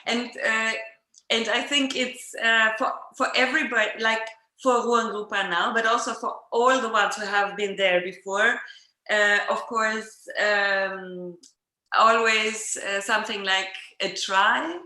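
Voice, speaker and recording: Woman, bright clear-edged tone, hesitant conversational delivery, roomy echo. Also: a little high, steady tone, slow. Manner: hesitant, searching for words. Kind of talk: casual conversation. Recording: roomy, echoing sound.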